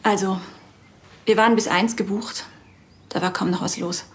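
A woman's voice: mid-range voice, steady tone, normal speed, natural voice. Subdued woman in her thirties, an actress.

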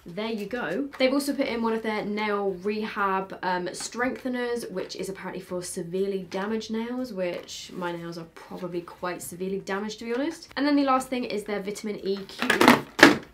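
A young woman in her twenties with a British accent, chatting casually as she unboxes makeup at her desk, thinking aloud with quick asides. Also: medium pitch, fairly bright tone, slight background noise. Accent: British English. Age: 20s. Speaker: beauty vlogger. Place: bedroom filming setup, indoors.

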